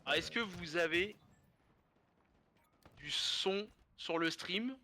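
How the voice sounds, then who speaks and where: A man casting a live esports match, stuffy-nosed and hoarse from a cold, joking as he goes. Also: high-pitched, slow, sharp tone, slight background noise. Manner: joking, rambling casual commentary. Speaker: esports caster.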